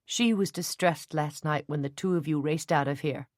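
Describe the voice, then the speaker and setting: A woman's voice: low-pitched, normal speed, no echo, clean studio sound, close to an everyday voice. A woman voicing an uneasy, diplomatic older father who speaks in a mild, placating way.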